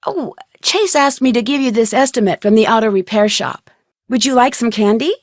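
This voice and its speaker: High-voiced, inquisitive young female detective. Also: expressive, normal speed, dry recording, clean studio sound. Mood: polite, earnest. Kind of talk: video game dialogue acting.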